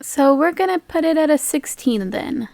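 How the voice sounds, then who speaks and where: A woman's voice: high-pitched, lively intonation, normal speed, creaky, a little mellow, no room sound. A woman acting as game master, chatting casually as she improvises and rules on a tabletop game.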